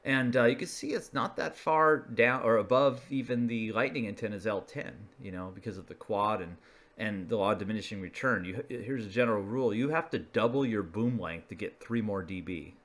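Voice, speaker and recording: A man's voice: on the low side, fairly quick, a bit bright. A man presenting a hobbyist explainer in a casual, unscripted, conversational way, feeling his way through phrases as he talks over slides. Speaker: video presenter, radio hobbyist.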